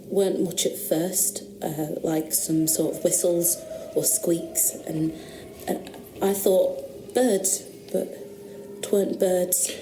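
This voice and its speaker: Scared rustic young woman in a radio play, slow halting talk, echoey room with noise. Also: lowish voice, steady tone. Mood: fearful. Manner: halting, hesitant, rustic speech. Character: frightened young country woman, insistent witness. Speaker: witness questioned about noises. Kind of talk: radio drama acting.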